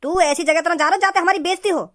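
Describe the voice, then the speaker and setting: A man plays an angry cartoon dad with a high, pitched-up voice. He fires off stern scoldings and threats at a quick pace, full of indignation at his son. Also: fairly bright tone. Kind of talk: amateur comedy cartoon dubbing.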